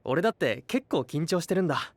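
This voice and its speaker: An actor playing an earnest, composed and modest young knight in an anime-style voice drama, speaking straightforwardly. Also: male voice, a little high, a little fast, no room sound. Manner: expressive, straightforward. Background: music.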